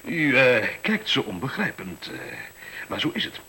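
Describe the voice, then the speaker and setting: A man's voice: mid-range voice, very animated, moderate pace, sharp tone. An actor in a radio play voicing a smug, condescending male school director who talks glibly about efficiency and interrupts himself. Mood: condescending, scornful. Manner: condescending, glib, self-interrupting. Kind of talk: radio drama acting, conversational monologue. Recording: hissy, noisy old radio recording.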